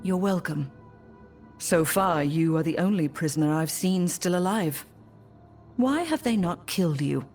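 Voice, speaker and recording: Game hero: performer as stoic necromancer, mid-pitched, terse and reserved, faint music behind. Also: animated, a little slow, a little mellow, natural voice. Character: stoic, self-reliant necromancer adventurer. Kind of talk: fantasy game voice acting.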